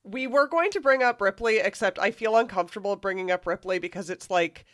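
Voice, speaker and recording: A woman's voice: medium pitch, a little fast, a bit bright. A woman podcast panelist talking casually about a novel. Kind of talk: casual book discussion.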